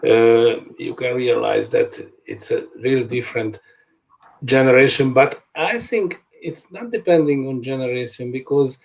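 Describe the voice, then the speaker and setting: A man with a Hungarian accent, a journalist on a panel, talking in a deliberate way with many pauses. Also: medium pitch, vocal fry, poor audio. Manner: slow, deliberate, pausing mid-sentence. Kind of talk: panel discussion. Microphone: remote video call.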